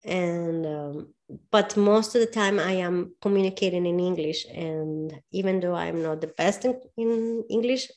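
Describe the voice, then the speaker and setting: A woman with a Spanish accent, a native Spanish speaker, talking as a movement coach in a casual interview. She speaks earnestly and often pauses to search for her words. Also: on the low side, a little mellow.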